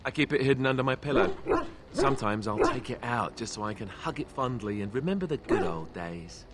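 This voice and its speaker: An actor playing a wry, self-deprecating young warrior in a fantasy video game, with a joking, sarcastic manner. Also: male voice, mid-range voice, lively intonation, a little fast, very noisy, close to an everyday voice. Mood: playful sarcasm.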